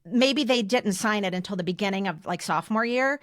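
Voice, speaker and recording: An American woman in her fifties shares personal stories as a podcast co-host. Her pitch is medium and her delivery is conversational and emphatic. The recording is dry and close. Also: fairly quick, fairly bright tone, no room sound. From United States. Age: 50s. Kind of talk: casual personal storytelling.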